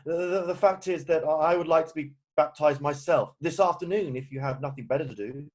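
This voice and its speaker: A man playing an exasperated, hesitant Victorian gentleman in an amateur play read-through. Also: on the high side, a little fast, natural voice. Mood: sheepish, polite. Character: exasperated, indignant Victorian gentleman, guardian of a young woman.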